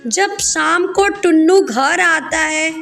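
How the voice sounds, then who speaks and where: A woman's voice: very high voice, takes it slowly, a bit bright. A woman reading scripted story narration for a children's cartoon. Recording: music behind the voice.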